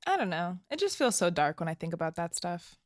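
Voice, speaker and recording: A woman's voice: on the low side, big pitch swings, brisk, noisy background. A woman playing a wistful, uneasy young woman who lives off-grid, speaking tentatively and thoughtfully in an audio drama. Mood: wistful, anxious. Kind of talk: naturalistic audio drama dialogue.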